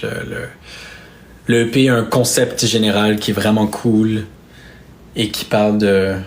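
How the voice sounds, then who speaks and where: A young man from Quebec with a Québécois French accent, chatting casually on a live stream with fans. He speaks softly and hesitantly, with many fillers and restarts. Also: lowish voice, level tone, a little slow. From Quebec, Canada. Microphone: phone or webcam on a live stream.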